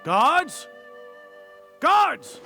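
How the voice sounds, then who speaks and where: An actor playing a haughty, suspicious elderly academy leader in a video game, speaking formally and muttering as he deliberates. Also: extremely high pitch, big pitch swings, vocal fry, pushed and bright, faint music behind, heavily acted. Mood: commanding. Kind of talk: English video game cutscene acting.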